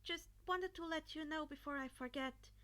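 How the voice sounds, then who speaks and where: A kind mother performed by a female reader with a high, gentle voice. She is loving and reassuring but worries about her teenager, as in an audiobook story. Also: moderate pace. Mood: worried, loving. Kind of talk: fan fiction audiobook character voice.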